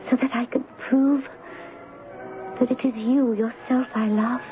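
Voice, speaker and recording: An actress playing a devoted young princess in love, soft and earnest in a romantic radio drama. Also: female voice, fairly high pitch, steady tone, a little slow, lightly acted. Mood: tender. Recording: dull 1970s radio broadcast, music behind.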